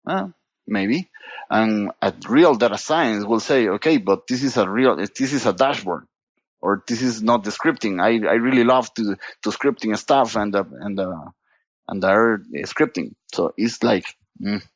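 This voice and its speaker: A man from Costa Rica with a Costa Rican Spanish accent, talking as a guest in a casual podcast interview, halting and restarting his sentences and leaning on "you know". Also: fairly low pitch, dry recording, lo-fi sound. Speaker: guest data platform expert.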